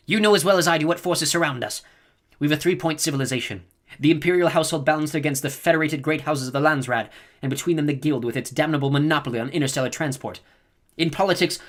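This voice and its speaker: A man's voice: a little high, a bit bright, pitched above the performer's own voice. A man voicing a stern, commanding old woman who scolds sharply in an audiobook reading. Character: stern imperious old woman, a reverend mother.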